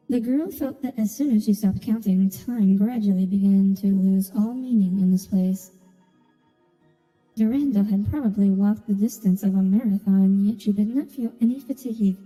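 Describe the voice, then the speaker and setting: Woman, game streamer, very mellow dark tone, casual commentary and story reading, long reverb, music behind. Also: mid-range voice, breathy edge. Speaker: gaming streamer playing through a story quest. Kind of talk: casual gameplay commentary mixed with reading story text aloud.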